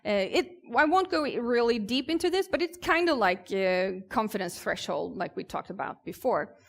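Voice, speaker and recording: A woman from southern Sweden with a Swedish accent, presenting informally and conversationally as a conference speaker, with hesitant pauses. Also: medium pitch, lively intonation, vocal fry. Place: conference stage.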